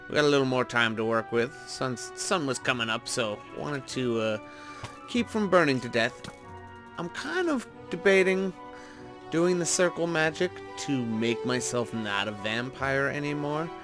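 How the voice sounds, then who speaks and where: A man hosting a gaming video with casual, playful, chatty commentary in an off-the-cuff way. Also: mid-range voice. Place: indoor voice-over recording over gameplay. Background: background music.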